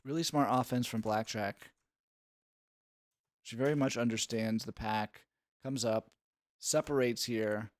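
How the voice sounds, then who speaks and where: A man's voice: mid-range voice, fairly even intonation, measured pace, long pauses, no room sound, clean studio sound. A man giving analytical play-by-play sports commentary in an instructive, explanatory way.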